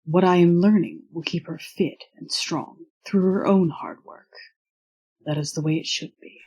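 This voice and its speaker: An actor playing a stiff, earnest young male student in an audio drama, with a formal, precise way of speaking and a husky voice. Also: medium pitch, steady tone, darkish tone, rough recording. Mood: earnest, firm. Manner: formal, measured, precise wording without contractions. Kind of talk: audio drama acting of fan fiction.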